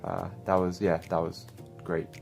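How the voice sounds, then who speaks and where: Deep-voiced young man, relaxed. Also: a little slow, gravelly, faint music behind. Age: 20s. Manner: relaxed, hesitant with uhs. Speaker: candidate. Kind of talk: casual interview answers.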